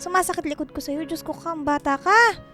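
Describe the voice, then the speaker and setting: A woman's voice: extremely high pitch, fairly quick, sharp tone, soft background music. A woman playing a nagging, exasperated mother of a teenage girl in a Filipino radio drama, scolding and exclaiming.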